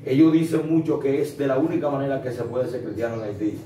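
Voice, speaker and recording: A man hosting an interview, asking probing questions in an informal way with quick affirmations. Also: medium pitch, mellow. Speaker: interviewer host. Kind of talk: casual interview. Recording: roomy echo, distant mic, faint noise.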